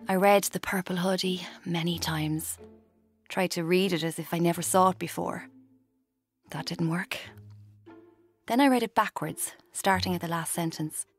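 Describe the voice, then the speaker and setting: An actress playing a watchful woman in her twenties, narrating her own story in a confiding, measured, wry way for a radio drama. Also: lowish voice, breathy edge, faint music behind. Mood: hushed, wry. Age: 20s.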